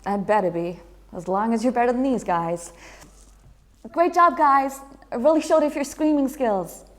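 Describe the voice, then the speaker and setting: A woman's voice: on the high side, moderate pace, natural voice. A woman playing a teasing young studio engineer in a sitcom, with a wry, sarcastic conversational delivery.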